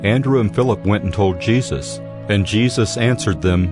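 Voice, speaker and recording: A man giving scripted Bible narration as a measured storyteller. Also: lowish voice. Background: music.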